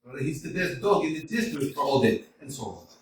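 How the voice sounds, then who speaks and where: A man voicing an older landowner and father who calms a quarrel in an offhand, soothing way, recorded at a distance in an echoey hall. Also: on the high side, a little fast, a little hiss or hum, lightly acted. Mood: placating.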